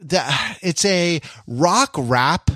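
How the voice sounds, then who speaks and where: A man hosting a podcast, talking casually about pop culture in a halting, wordy, explanatory way. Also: on the high side, lively intonation, bright, forceful tone, dry recording, studio quality. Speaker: podcast host.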